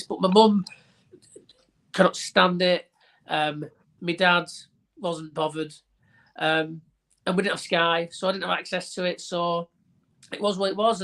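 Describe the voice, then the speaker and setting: A man's voice: sits high, creaky, a bit bright. A man with a Bolton accent and a stuffy nose from a cold, chatting as a guest on an online podcast and rambling a little as he talks. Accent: Bolton, northern English. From Bolton, England. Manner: chatty, rambling, repeats words when starting sentences. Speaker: wrestling ring announcer and radio host, guest. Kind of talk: casual podcast interview chat. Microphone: online call.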